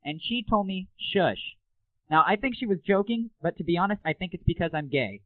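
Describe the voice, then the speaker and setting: A man's voice: high voice, lively intonation, fairly quick, no room sound. Man putting on whiny lisping customer, tinny phone. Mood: aggrieved. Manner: plaintive, dramatic complaining. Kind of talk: prank phone call. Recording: tinny, dry phone line.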